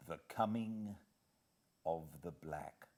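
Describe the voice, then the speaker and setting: A British man narrating a classic novel as an audiobook, with expressive, measured and deliberate phrasing. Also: deep voice. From Britain.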